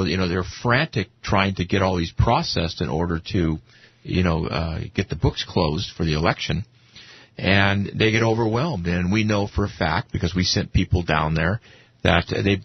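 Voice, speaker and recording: A man's voice: deep voice, breathy edge. A man talking informally as a guest in a radio discussion, telling things in an anecdotal way. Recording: dull, dry lo-fi radio sound.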